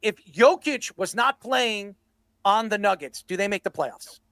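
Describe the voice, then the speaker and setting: A man's voice: high voice, lively intonation, a little slow, fairly bright tone, no room sound. A man hosting a sports debate show, blunt and combative, given to ranting and swearing.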